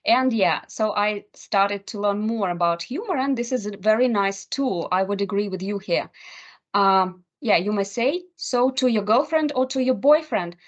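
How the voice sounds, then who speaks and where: A woman co-hosting an online English speaking club, relaxed and conversational as she reads out questions and answers them. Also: mid-range voice, normal speed. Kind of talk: casual online English lesson chat. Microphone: video call. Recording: lo-fi video call audio.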